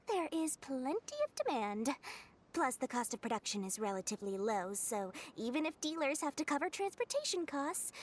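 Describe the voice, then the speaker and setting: Sly high-pitched animated merchant girl. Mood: scheming, smug. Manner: sing-song, teasing, theatrical. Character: shrewd, playful female merchant, sly and money-minded. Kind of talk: video game character dialogue.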